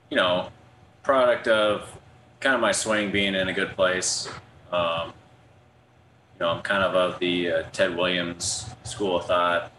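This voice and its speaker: A young man, a ballplayer, answering reporters at a press conference in a soft-spoken way full of ums and you-knows. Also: low-pitched. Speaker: baseball player. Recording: echoey room with background noise.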